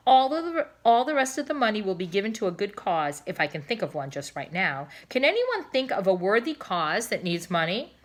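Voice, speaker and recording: A woman voicing an excitable, generous male storekeeper in a children's storybook, speaking in emphatic declarations. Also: medium pitch, fairly quick, few pauses, close to an everyday voice. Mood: proud announcing. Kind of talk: children's storybook read-aloud.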